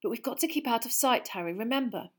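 A woman's voice: on the high side, lively intonation, fast talker, voice pushed up. A woman doing Hermione, an anxious clever girl. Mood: nervous.

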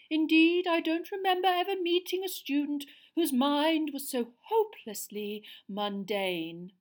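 A woman voicing a dreamy, mystical older female divination teacher, murmuring slowly and theatrically. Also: very high-pitched, pitched above the performer's own voice. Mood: cold indignant. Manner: slow, breathy, theatrical murmuring.

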